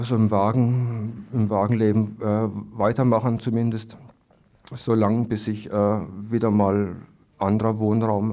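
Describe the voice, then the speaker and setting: Low, creaky-voiced man. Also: dark tone. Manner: hesitant, halting, repeats words. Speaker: interviewed evicted trailer-camp resident. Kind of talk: spontaneous radio interview. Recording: dull lo-fi archival radio recording.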